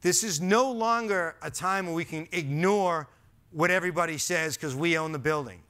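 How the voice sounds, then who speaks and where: A man in his forties with a Boston accent gives an earnest, emphatic presentation to an audience. His voice sits a little high and carries a bright, forceful edge. He is picked up on a headset mic in a large auditorium. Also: moderate pace. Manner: earnest, emphatic, gestures while speaking. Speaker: conference speaker. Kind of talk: stage presentation. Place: large dark auditorium stage.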